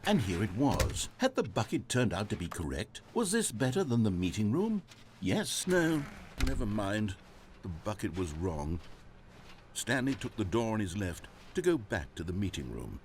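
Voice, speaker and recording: A man playing a wry, theatrical storybook narrator in a game, with deliberate scripted delivery. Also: fairly low pitch, wildly swooping pitch, slight background noise. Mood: wry, musing.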